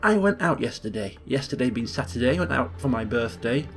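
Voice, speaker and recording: A man with a slightly hoarse voice, hosting a chatty let's play and walking through game menus. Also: medium pitch, animated, fairly quick, a bit bright. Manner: upbeat, chatty, explains game menus step by step. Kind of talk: casual gameplay commentary.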